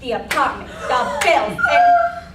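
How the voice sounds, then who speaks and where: A woman's voice: high-pitched, huge pitch swings, takes it slowly, over loud music, exaggerated voice. A woman playing a proud, scornful restaurant matriarch, telling family legend theatrically and emphatically in a stage comedy. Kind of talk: amateur stage comedy acting. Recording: echoey stage, distant mic, faint noise.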